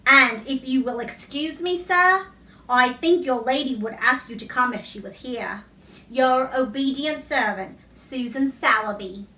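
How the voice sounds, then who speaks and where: A woman's voice: sits high, unhurried, bright, forceful tone. A woman reading a storybook, voicing a plain, humble countrywoman's earnest and respectful letter. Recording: echoey room, distant mic.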